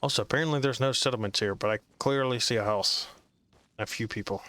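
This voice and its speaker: A man's voice: medium pitch, fast, pushed and bright. A man giving casual gameplay commentary, muttering sardonic, sometimes profane remarks to himself as he plays. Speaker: gamer playing and commenting.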